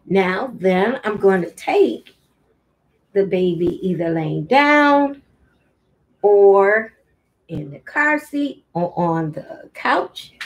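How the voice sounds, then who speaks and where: Slow-talking woman teaching parents baby rhymes. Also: medium pitch, lively intonation, poor audio. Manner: slow, deliberate, with singsong baby-talk chanting. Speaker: early childhood teacher, host of infant read-along. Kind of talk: instructional demonstration for parents of babies. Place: room at home.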